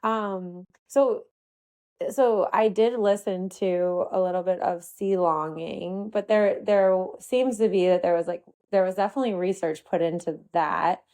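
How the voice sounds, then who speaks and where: A woman's voice: medium pitch, unhurried, darkish tone. A woman hosting a podcast interview, casual and encouraging, backing the guest with frequent agreement. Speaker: podcast host.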